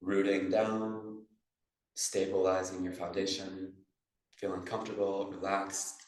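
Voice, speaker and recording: A man with a low voice guides a meditation class as a yoga teacher. His delivery stays even, in measured phrases with pauses. He sounds distant from the mic, in a heavily echoing room. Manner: measured phrasing with pauses, instructing breath and posture. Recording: echoey room, distant microphone.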